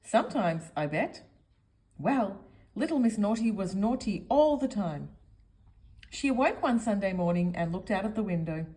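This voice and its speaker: A woman's voice: mid-range voice, lively intonation, normal speed, warm tone. A woman reading a children's picture book aloud, expressively, as if speaking directly to young listeners. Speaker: storyteller.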